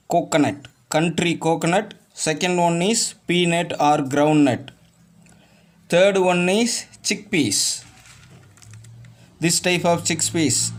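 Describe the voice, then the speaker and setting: Slow, halting man. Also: a little high. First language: Tamil. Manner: halting broken English, repeats "okay" between phrases. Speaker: presenter. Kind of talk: home remedy cooking demonstration.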